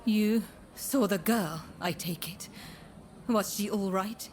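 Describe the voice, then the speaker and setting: An actress playing a gentle, courteous woman of a Japanese pleasure district in a video game, speaking softly and formally in measured, breathy phrases. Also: mid-range voice, slight background noise, natural voice. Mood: somber, earnest. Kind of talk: scripted dramatic game dialogue. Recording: music behind the voice.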